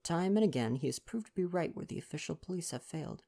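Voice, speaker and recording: A woman's voice: low voice, lively intonation, quick pace, gravelly, mellow, breathy edge, heavily acted. A woman voicing a polite, reserved young man, an ex-soldier, in a read-aloud novel. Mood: admiring.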